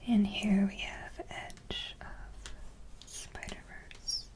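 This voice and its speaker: A woman whispering softly in a casual ASMR style, talking to viewers with frequent hesitant pauses mid-sentence. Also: low-quality recording. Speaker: ASMR video creator.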